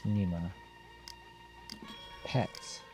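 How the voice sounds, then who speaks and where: Deep-voiced man doing a let's play. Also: steady tone, extremely slow, music in the background. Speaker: gamer reading and reacting to a visual novel. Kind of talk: let's play commentary, reading game text aloud.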